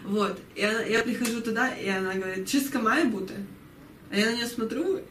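High-voiced young woman, echoey distant recording. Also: brisk, fairly bright tone. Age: early twenties. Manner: giggly, chuckles often while talking. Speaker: interview guest. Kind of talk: casual conversational interview. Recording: echoey room, distant mic, faint noise.

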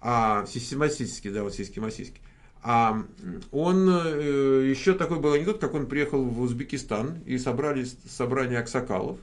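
A man's voice: mid-range voice, moderate pace. A man hosting a casual live question-and-answer stream, joking and rambling with many fillers. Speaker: host.

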